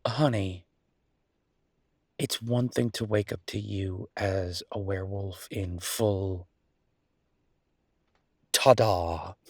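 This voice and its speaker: A man acting as a chef boyfriend speaks in a low, husky voice, recorded close and dry. He chats casually and intimately, stopping and restarting as he goes. He is affectionate and teasing, with a grumbling streak, in the style of an audio roleplay. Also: no echo, exaggerated voice. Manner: casual, teasing, halting conversational delivery.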